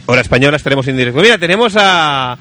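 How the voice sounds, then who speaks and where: A man's voice: on the high side, lively intonation, racing, bright, forceful tone. A man with an accent from Spain hosting a casual radio chat and reading listener emails, emphatic and fond of repeating phrases. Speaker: radio show host.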